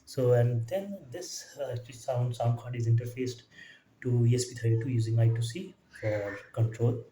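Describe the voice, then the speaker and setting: Dark-voiced halting man in an echoey room. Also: medium pitch, a little hiss or hum. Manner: halting, often tacking "actually" onto phrases. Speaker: electronics hobbyist presenter. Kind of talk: informal technical demo explanation. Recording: echoey room, distant mic, faint music.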